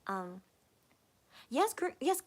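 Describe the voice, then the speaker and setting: A woman's voice: high voice, sing-song, extremely slow. A young adult woman hosting a casual live stream, reading out chat comments and answering them in a rambling way, with lots of fillers and repeated words. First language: English. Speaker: livestream host reading chat.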